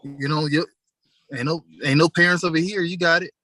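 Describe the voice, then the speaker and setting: A young man with a bright, fairly high voice talks casually on a podcast. His stories come out relaxed and full of slang, and the sound is close and dry. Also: fairly quick, dry recording. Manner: laid-back, storytelling with slang. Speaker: podcast co-host. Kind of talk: casual chat.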